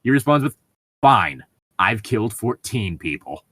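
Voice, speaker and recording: A male co-host reads a story out loud and drops in casual asides. His pitch is animated and varied, and the recording is dry and free of room sound. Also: measured pace, slightly rough, dry recording. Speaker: co-host reading online stories aloud. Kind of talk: reading stories aloud with casual commentary.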